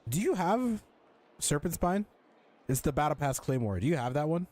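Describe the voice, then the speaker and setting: A man's voice: a little high, big pitch swings, moderate pace, darkish tone. A man chatting casually and informally as he streams a video game. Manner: colloquial, informal. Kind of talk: casual chat while playing a game.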